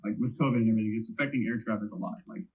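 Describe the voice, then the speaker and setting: Husky man asking questions. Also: on the low side, steady tone, racing, a little mellow, rough recording. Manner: tentative, informal questioning. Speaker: interviewer. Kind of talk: casual interview chat.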